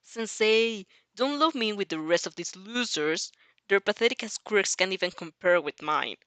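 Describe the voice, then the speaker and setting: A woman's voice: medium pitch, expressive, normal speed, a bit bright, no echo, close to an everyday voice. A woman voicing an arrogant, bitter teenage schoolboy who sneers and boasts in an amateur audio fanfic. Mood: scornful. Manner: sneering, boastful, foul-mouthed.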